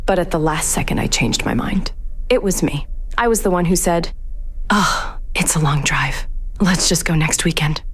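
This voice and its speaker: A woman's voice: fairly low pitch, lively intonation, normal speed, husky. A woman playing a guilt-haunted, grieving young woman in an audio drama, introspective, brooding and wry. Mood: brooding, guilty. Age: young adult. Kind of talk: audio drama acting, confessional narration.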